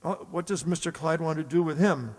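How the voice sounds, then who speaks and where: A man's voice: a little high, brisk, darkish tone, close to an everyday voice. A man playing a skeptical, grumbling racehorse trainer in an old-time radio comedy, with a dry manner. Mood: doubtful. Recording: reverberant hall.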